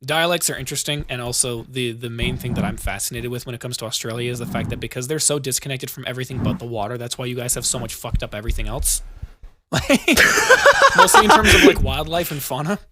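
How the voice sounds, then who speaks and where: A man's voice: medium pitch, expressive, fast, noisy background. An American man hosting a podcast, chatty, telling stories and swearing casually. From United States. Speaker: podcast host.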